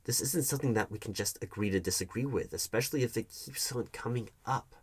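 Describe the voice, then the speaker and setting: A man playing a defensive, evasive hiring manager arguing with his ex in a one-sided audio roleplay, halting and repeating his words. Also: mid-range voice, husky. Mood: resigned, firm. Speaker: roleplay performer.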